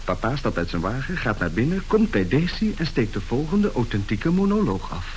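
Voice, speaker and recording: An actor playing the chatty, amused son of a rich family in a radio play, gossiping with relish. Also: male voice, medium pitch, very animated, a little fast, a little mellow, lightly acted, poor audio. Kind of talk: radio drama acting. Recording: hissy old radio drama recording.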